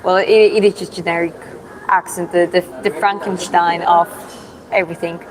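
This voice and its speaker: A woman's voice: lowish voice, little pitch movement, moderate pace, crowd noise, poor audio. A young woman from Georgia with a Georgian accent, chatting on a livestream, answering comments with many fillers and restarts. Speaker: livestream host. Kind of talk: casual livestream chat reading comments.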